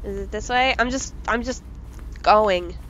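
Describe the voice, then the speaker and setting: A woman with a stuffy nose, commenting casually and excitably on a video game as its let's play host. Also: mid-range voice, unhurried, muffled recording, slight background noise. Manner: excitable, bursts into yelps and laughs. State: stuffy from a cold.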